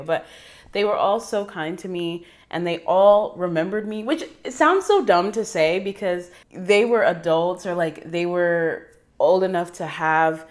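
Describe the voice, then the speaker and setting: Female vlogger, young adult, American accent. Lowish register, chatty and slangy, loose unscripted storytelling that wanders. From New York City, United States. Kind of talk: casual personal storytelling.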